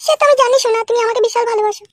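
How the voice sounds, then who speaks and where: Squeaky, very high cartoon village wife. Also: female voice, steady tone, very fast, no echo. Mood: sweet, affectionate. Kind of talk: comedy cartoon dialogue.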